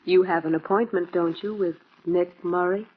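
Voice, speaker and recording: Actress as enigmatic femme fatale, muffled old radio. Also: female voice, lowish voice, steady tone, moderate pace, natural voice. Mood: calm, secretive. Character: mysterious, composed femme fatale. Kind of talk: 1950s radio noir acting. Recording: muffled vintage radio recording.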